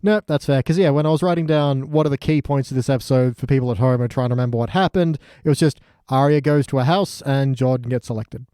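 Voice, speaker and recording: A man's voice: mid-range voice, quick pace, few pauses, mellow, smooth, no echo, studio quality. A man hosting a podcast, chatting casually as he reviews a TV show. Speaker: podcast host.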